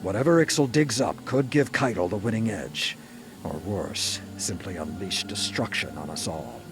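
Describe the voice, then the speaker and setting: Man acting as grave game commander, lowish voice, serious measured briefing, loud music underneath. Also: lively intonation, natural voice. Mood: grave, urgent. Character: grave, dutiful military mission commander. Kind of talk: dramatic video game acting.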